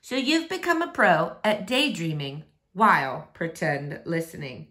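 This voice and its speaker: Animated woman reading a storybook aloud. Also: fairly low pitch, unhurried. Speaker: storybook reader. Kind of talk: expressive read-aloud narration for children.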